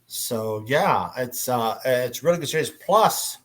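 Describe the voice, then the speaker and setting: Male panel moderator, mid-pitched everyday voice, chatty and enthusiastic fan delivery. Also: expressive, slow, fairly bright tone. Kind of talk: casual panel chat.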